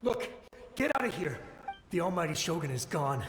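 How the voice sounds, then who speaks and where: A man's voice: fairly high pitch, big pitch swings, moderate pace, a bit bright, soft background music. A man playing a weary but resolute soldier's ghost in a video game, urgent and dramatic, with a ghostly echo. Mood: desperate, resolute. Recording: echoing ghostly reverb, faint noise.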